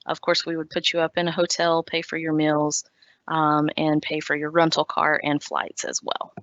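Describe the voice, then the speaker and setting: A woman with a Texan accent explains hiring and travel at an online career fair, speaking in a somewhat low voice. She is friendly but hesitant, with plenty of ums and uhs. Her voice comes over a dry, dull-sounding video call. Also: monotone, normal speed, fairly bright tone, no echo. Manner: friendly, hesitant, many fillers. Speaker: recruiter. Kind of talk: virtual career fair presentation.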